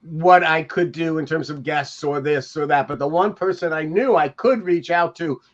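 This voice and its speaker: An older man chatting casually and reminiscing as a podcast panelist, in a halting, rambling way. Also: a little high. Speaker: podcast panelist, TV industry veteran. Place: home room, on a video call. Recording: dull lo-fi video call audio.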